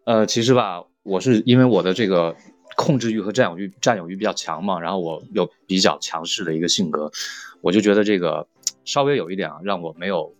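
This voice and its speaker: Low-key joking man, dry close sound. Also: brisk, no echo. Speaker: voice actor guest. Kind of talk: casual group chat.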